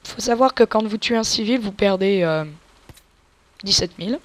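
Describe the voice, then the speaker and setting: A woman's voice: medium pitch, moderate pace. A woman with a cold giving casual, crude, joking gameplay commentary and explaining tactics to viewers as a let's play gamer. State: has a cold.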